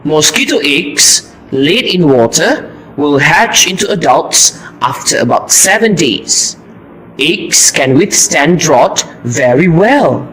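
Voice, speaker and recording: A man playing an earnest, heroic mosquito destroyer in an amateur puppet show, with a deliberate, recited delivery. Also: a little high, very animated, fairly bright tone, full character voice, low-quality recording.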